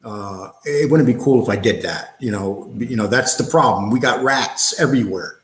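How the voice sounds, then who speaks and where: A man's voice: medium pitch, normal speed. A man hosting a casual livestream, talking in a blunt, combative, streetwise way. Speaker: show host. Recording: roomy, echoey sound.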